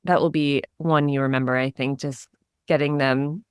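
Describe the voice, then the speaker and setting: An American woman hosts an interview with eager, rambling enthusiasm, full of "like" and quick restarts. Her voice is unusually low for a woman, and the sound is close and dry. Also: fairly even intonation, smooth, no room sound. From United States. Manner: enthusiastic, many fillers and restarts. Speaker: podcast host. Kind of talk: casual interview.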